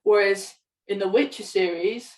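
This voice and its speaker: A woman's voice: mid-range voice, normal speed. A young woman chatting casually as a podcast co-host. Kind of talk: casual chat. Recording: voice distant from the microphone.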